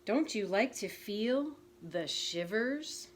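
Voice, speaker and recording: A woman's voice: medium pitch, expressive, slow, slight background noise. A teasing storyteller frog, as a woman reads him. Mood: storytelling. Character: playful male frog telling a ghost story.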